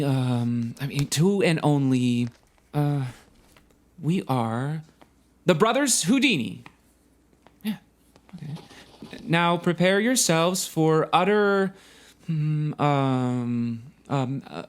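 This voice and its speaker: An actor playing an eager, cocky young male magician in a theatrical musical audio drama. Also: a little high. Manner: theatrical, animated.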